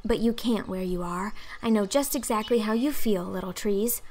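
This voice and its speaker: A woman's voice: medium pitch, moderate pace, darkish tone, natural voice. A woman voicing a talkative, dreamy little orphan girl in an audiobook, effusive, earnest and theatrical. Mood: eager, chatty. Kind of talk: audiobook character acting.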